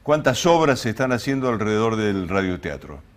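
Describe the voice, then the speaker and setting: A man's voice: lowish voice, very animated, fairly quick. A man with an Argentine accent hosting an interview in wordy, somewhat formal phrases. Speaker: interview host. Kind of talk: conversational interviewing.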